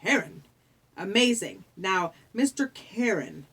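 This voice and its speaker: Woman as young boy hero, plucky, bewildered, insistent, animated intonation, audiobook dialogue. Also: medium pitch, slow, breathy edge, heavily acted. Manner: earnest, insistent. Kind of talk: audiobook character voice.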